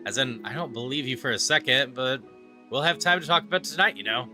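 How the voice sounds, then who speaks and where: A man's voice: medium pitch, brisk, bright, forceful tone. A man voicing an exuberant, big-hearted adventuring friend in a video game. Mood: caring, teasing. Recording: game music under the voice.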